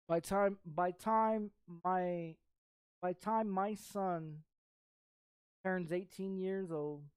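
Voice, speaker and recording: A woman's voice: fairly low pitch, fairly even intonation, extremely slow, clean tone, no echo, clean studio sound. A woman in her thirties from Texas chatting casually as a streamer, blunt and sweary, circling back over the same phrases. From Texas, United States. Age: 30s. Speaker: game streamer. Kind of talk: casual rambling chat.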